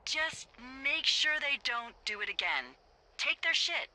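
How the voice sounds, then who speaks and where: An actress playing a wry, bossy, foul-mouthed fire lookout supervisor in a video game, teasing and casual over a handheld radio. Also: female voice, sits high, big pitch swings, unhurried, pushed and bright, heavily acted. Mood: alarmed, bossy. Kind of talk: naturalistic video game dialogue.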